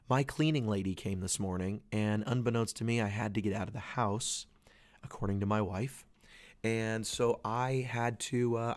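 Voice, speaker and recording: Podcast host, man, 30s: hoarse from losing his voice, low gravelly tone, loose and earnest. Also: no echo. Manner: rambling, earnest, many restarts. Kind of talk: casual solo podcast talk. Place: away from home, dry small space.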